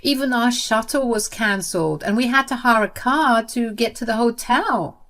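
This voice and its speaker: A woman voicing an entitled sister-in-law, shrill and frantic. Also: moderate pace, fairly bright tone, natural voice. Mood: panicked.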